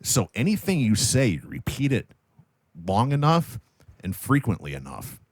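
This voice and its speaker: A man's voice: mid-range voice, wildly swooping pitch, measured pace, dry recording. A man in casual podcast conversation, explaining things emphatically and repeating himself for effect. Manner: explanatory, emphatic repetition, profane. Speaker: podcast guest with radio background.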